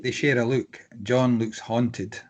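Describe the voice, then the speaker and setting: A man reading screenplay stage directions aloud as scripted narration, with a slightly husky voice. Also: mid-range voice, steady tone, slow pace, a little hiss or hum.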